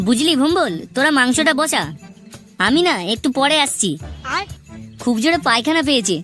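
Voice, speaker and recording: A man's voice: very high voice, lively intonation, moderate pace, bright, forceful tone, faint music behind, heavily acted. A man voicing a crude, teasing young village lad with a West Bengal accent in a comic cartoon. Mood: crude banter. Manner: foul-mouthed, mocking banter.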